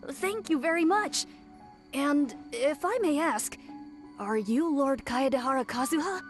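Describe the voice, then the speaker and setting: A small, timid yokai child in a video game. The voice is very high, shy and deferential, with hesitant stammers and faint music behind it. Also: female voice, animated, measured pace. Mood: nervous awe. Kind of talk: English game dub acting.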